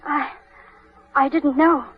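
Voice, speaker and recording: A sweet, anxious young lady in an old radio play. The voice is extremely high, earnest and full of feeling. The recording is thin, like a telephone line. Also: fairly even intonation, slow. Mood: distressed. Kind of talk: 1930s radio drama acting. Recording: thin, band-limited 1930s radio recording.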